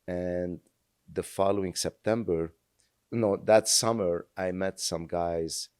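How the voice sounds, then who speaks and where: Man, 60s, very low voice, earnest, halting, dry studio sound. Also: steady tone, darkish tone, clear, resonant tone, no echo. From Lebanon. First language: French. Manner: earnest, halting with many fillers. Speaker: guest. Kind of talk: reflective interview storytelling.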